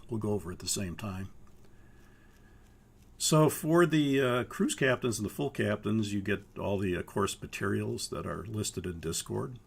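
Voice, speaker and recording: An older man from Minnesota, hoarse from a cold, walking students through a flight training course in a halting way with many pauses. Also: fairly low pitch, a little hiss or hum. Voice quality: gravelly, husky. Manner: halting, with frequent uhs. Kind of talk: live online course walkthrough.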